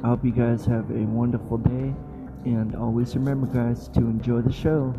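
A man hosting a podcast, reading an outro in halting, short phrases. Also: mid-range voice, little pitch movement. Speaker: podcast host. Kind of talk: scripted podcast sign-off. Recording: dull lo-fi audio. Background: prominent plucked guitar music.

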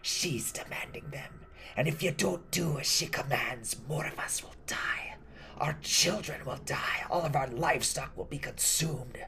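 A man voicing a frail, haunted old village woman in a soft, raspy whisper for an audio horror story. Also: a little high, pitched above the performer's own voice. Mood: eerie, foreboding. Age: older. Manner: slow, ominous, breathy.

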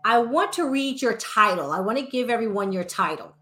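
A woman hosting a podcast interview, introducing her guest and asking questions in a deliberate way. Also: on the high side, expressive. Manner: deliberate, warm introductions with light laughs. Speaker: podcast host.

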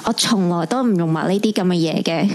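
A woman's voice: fairly low pitch, a little fast, a little mellow, close to an everyday voice. A woman playing a cheeky, boastful young office colleague in a radio drama, with a faint hiss on the recording. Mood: teasing. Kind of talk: Cantonese radio drama acting.

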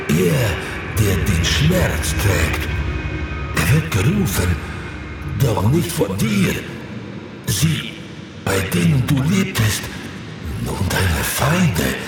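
A man playing a mysterious seer who recites prophecies solemnly and cryptically in halting phrases, in a fantasy audio drama. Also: on the low side, very echoey, distant mic, full character voice.

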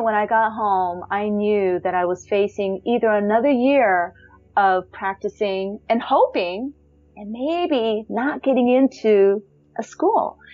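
Young woman, high light voice, earnest and reflective, trips over words and laughs easily; slightly dull lo-fi recording. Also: darkish tone. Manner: reflective, earnest, laughs often, frequent restarts. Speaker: podcast guest, musician. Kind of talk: personal life story, casual.

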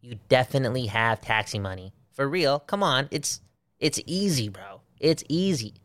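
A man co-hosting a casual sports chat, animated and swearing freely, repeating himself for emphasis. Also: medium pitch, normal speed, dry recording. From Belgium. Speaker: sports podcast co-host.

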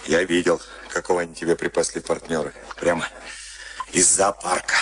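An actor playing a huge, rough boxing trainer in a Soviet radio play, urgent and coaxing, repeating short commands. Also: male voice, sits low, level tone, moderate pace, fairly bright tone. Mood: goading, chatty.

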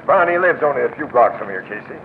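An actor playing a gruff, skeptical American police captain in a 1940s radio drama, brusque and commanding. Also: male voice, medium pitch, sing-song, quick pace. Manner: brusque, authoritative. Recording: tinny, noisy old radio broadcast.

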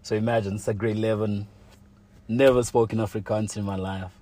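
A man's voice: on the low side, fairly even intonation, fairly quick, warm tone. A young man telling a personal story in casual chat, full of slang and fillers like "like" and "you know". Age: young adult.

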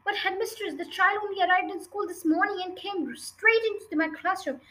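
A woman's voice: sits high, expressive, a little fast, bright, forceful tone. A woman voicing a polite, determined schoolteacher who pleads respectfully but firmly in a storybook reading. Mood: earnest, insistent.

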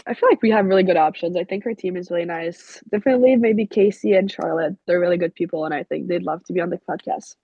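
A young Québécois woman with French as her first language talks through her answers, filling nearly every pause with "like." Her voice comes through husky and a little breathy. The lo-fi recording sounds dull and muffled, and the room is dry. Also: lowish voice, mellow, no room sound. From Quebec. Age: young adult. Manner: leans heavily on "like" as a filler. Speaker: college hockey player guest. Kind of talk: casual interview answers.

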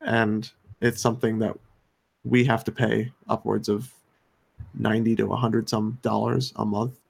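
An American man co-hosting a podcast, giving his opinions in an earnest, somewhat halting explanatory way. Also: lowish voice, little pitch movement, vocal fry, breathy edge. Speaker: podcast co-host.

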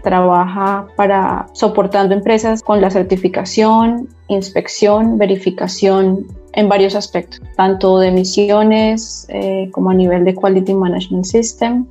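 A woman in her forties with a Bogotá Colombian accent, a guest expert giving measured, careful explanations with small restarts in a podcast interview. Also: mid-range voice, mellow, muffled recording.

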